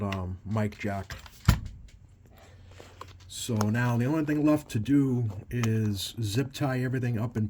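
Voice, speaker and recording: A man giving casual, halting hands-on repair commentary, thinking aloud as he works at a bench. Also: on the low side, noisy background. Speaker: radio repair technician, video host. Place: small indoor workshop at an electronics bench.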